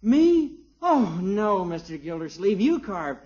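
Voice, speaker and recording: Modest young husband, polite, lively pitch, muffled old radio sitcom. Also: male voice, slow, exaggerated voice. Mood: surprised, deferential. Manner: deferential, protesting. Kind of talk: 1950s radio situation comedy acting.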